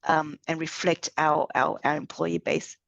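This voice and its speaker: A middle-aged woman with an Australian accent speaks in a measured, articulate way during a panel discussion. Her voice sits on the lower side, and the sound is dry and close. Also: level tone, gravelly, a bit bright, no echo, highs cut off. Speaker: head of diversity, equity and inclusion. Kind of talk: panel talk.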